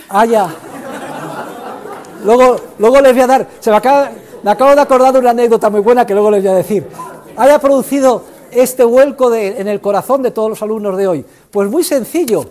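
Older professor, high-pitched, theatrical lecture voice in a big classroom. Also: male voice, normal speed. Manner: theatrical, emphatic, swings from shouting to low asides. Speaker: economics professor. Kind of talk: university lecture. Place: large university classroom.

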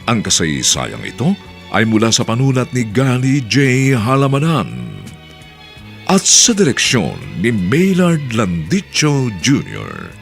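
A man narrating and announcing the credits of a radio drama in a slow, dramatic way. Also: medium pitch, wildly swooping pitch, creaky, faint music behind.

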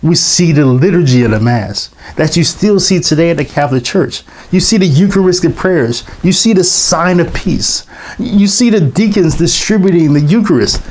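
Animated man lecturing to camera. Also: fairly high pitch, normal speed. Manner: animated, emphatic, expressive teaching delivery. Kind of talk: lecture to camera, reading quotations aloud.

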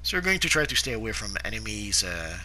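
Bright-voiced man with a sore throat. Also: lowish voice, big pitch swings, slight background noise. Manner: halting, frequent "uh" fillers and restarts. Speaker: gameplay guide narrator. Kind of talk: casual game commentary.

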